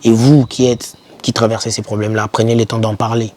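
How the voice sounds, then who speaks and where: A man's voice: on the low side, little pitch movement, a little fast, a little mellow. A young man giving informal motivational advice to camera, speaking emphatically and repeating key words to encourage the listener. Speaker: YouTuber advising foreign students.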